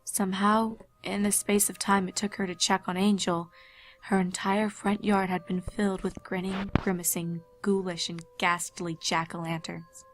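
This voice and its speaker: A woman's voice: medium pitch, level tone, normal speed, rough recording. A woman reading a scripted horror story as a dramatic narrator. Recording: faint music behind narration. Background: faint music.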